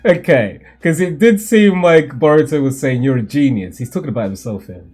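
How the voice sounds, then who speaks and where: A man hosting a manga read-along, giving casual, animated reaction commentary in a slangy, jokey way. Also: fairly high pitch, normal speed, breathy edge, faint music behind.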